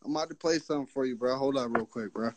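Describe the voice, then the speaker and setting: A man's voice: mid-range voice, very fast, noisy background. A man from Rochester, New York, calling into a livestream as a fan, chatting in chummy, eager slang. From Rochester, New York, USA. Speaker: call-in fan and aspiring musician. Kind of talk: casual slangy chat. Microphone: phone video call.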